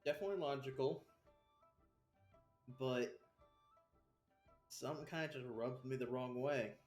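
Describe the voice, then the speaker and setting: A male streamer comments casually on a game in a mid-pitched voice. He is blunt and offhand, with a roomy echo on his mic and faint game music behind. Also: a little slow, a little mellow. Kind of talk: casual live gameplay commentary. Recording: roomy, echoing streamer microphone.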